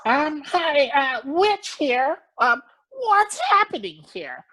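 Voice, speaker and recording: A man voicing an awkward, nervous witch who talks haltingly with lots of ums. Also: very high-pitched, sing-song, sharp tone, exaggerated voice, low-quality recording. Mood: uneasy.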